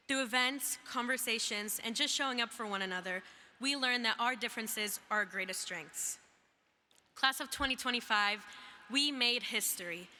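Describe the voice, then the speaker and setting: Young student woman from iraq, arabic native, speaking slow and emphatic at graduation, faint noise behind. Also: fairly high pitch, bright, forceful tone. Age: young adult. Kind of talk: graduation speech. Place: large hall. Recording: reverberant hall with faint noise.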